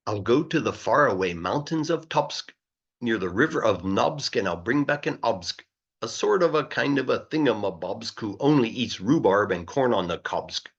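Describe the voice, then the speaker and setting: A man reads rhyming children's verse aloud in a playful, emphatic way. His mid-pitched voice moves up and down in a very animated way and has a rough, husky grain. The recording is a little dull. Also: normal speed. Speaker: English teacher reading aloud. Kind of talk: expressive read-aloud of a rhyming children's book.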